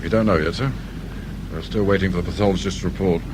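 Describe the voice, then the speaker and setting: An actor playing a dry, brusque middle-aged English detective inspector in a radio drama. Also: male voice, low-pitched, fairly even intonation, fast, natural voice. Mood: sober, official. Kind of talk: naturalistic British radio drama acting. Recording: clear background noise and ambience.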